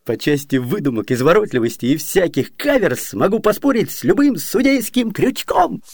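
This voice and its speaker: An actor playing a wily, fawning, excitable servant in a classic comedy radio play, wheedling and chatty in manner. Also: male voice, high-pitched, normal speed, full character voice. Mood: eager. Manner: wheedling, chatty, theatrically pleading. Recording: reverberant 1980s Soviet radio studio.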